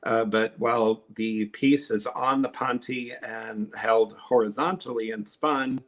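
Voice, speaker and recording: A man's voice: medium pitch, fairly even intonation, slow. A man on a live online sale, chatting casually as he shows and explains his glass pieces. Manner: chatty, explains techniques while showing pieces.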